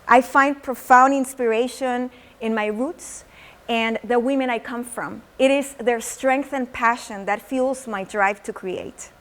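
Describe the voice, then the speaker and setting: A woman's voice: on the high side, fairly even intonation, a bit bright. A woman from Mexico with a Mexican accent, performing spoken word poetry and teaching how it is made. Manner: slow, measured poetic delivery with Spanish words. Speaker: teaching artist.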